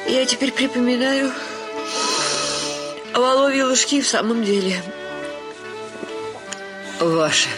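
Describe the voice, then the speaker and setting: An actress playing a landowner's quarrelsome, hysterical daughter, stammering and melodramatic in a theatrical radio comedy. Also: female voice, fairly high pitch, expressive, takes it slowly, pauses often, over music. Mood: meek, conciliatory.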